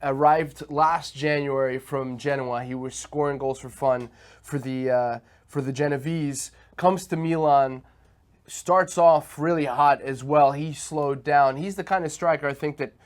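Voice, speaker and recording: A man co-hosting a sports talk show, giving analytical, stat-heavy takes in a casual tone. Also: medium pitch.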